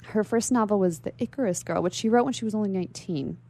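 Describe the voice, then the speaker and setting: A woman's voice: mid-range voice, quick pace, dark tone. A woman speaking as an interview guest in a literary radio discussion, articulate and bookish.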